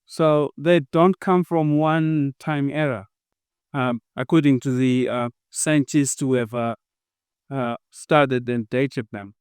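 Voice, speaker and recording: A man working as a tour guide, explaining sites slowly with many fillers. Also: mid-range voice, steady tone, clean tone, no echo, studio quality.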